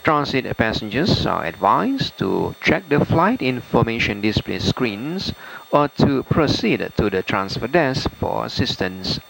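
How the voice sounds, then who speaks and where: A man with a Malaysian accent reading formal cabin crew announcements in a halting way. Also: medium pitch, sing-song, creaky, fairly bright tone. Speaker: airline cabin crew member. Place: aircraft cabin, over the PA. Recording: faintly noisy aircraft cabin announcement audio.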